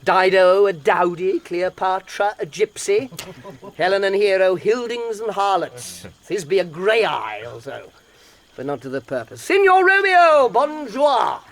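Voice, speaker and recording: A high-pitched, mocking young gentleman. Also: very animated, unhurried, exaggerated voice. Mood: exuberant mockery. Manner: theatrical, playful, flamboyant wordplay. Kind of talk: Shakespearean audio drama acting.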